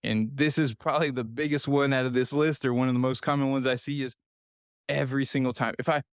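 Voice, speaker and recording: A man's voice: mid-range voice, fairly even intonation, quick pace, clean tone, no room sound. Earnest man in his thirties, dry close mic. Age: 30s. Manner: earnest, repetitive, speaks directly to listener. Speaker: podcast host, nutrition coach. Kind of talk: solo podcast advice talk.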